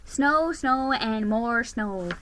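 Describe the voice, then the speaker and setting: A woman narrates a children's story in a theatrical, deliberate style. Her voice is bright and sits a little high. She takes her time with each phrase, giving it dramatic weight. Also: animated, slight background noise. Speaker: storybook reader.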